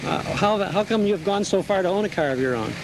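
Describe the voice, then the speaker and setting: A man's voice: high-pitched, lively intonation, fairly quick, background noise. A man hosting a show, with announcer-style narration and on-location interviewing.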